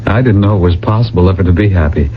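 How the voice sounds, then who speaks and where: An actor playing an earnest, lovestruck husband, a nostalgic misfit of the future, in a radio drama, with halting, sincere delivery. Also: male voice, low voice, a little hiss or hum, natural voice. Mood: wry, nostalgic. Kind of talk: 1980s radio drama acting. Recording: dull vintage radio broadcast with music.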